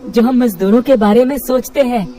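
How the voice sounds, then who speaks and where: A woman's voice: fairly high pitch, moderate pace, far from the mic, music in the background, voice pushed up, natural voice. A woman voicing a polite, trusting, poor male labourer in a village cartoon. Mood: grateful.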